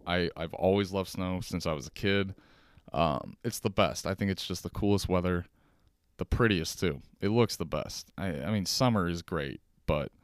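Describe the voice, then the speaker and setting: Low, smooth-voiced American man, casual podcaster. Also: dry recording, studio quality. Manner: rambling, informal, slangy, peppered with profanity. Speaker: podcast host. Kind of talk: casual solo podcast chat. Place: at a kitchen table at home.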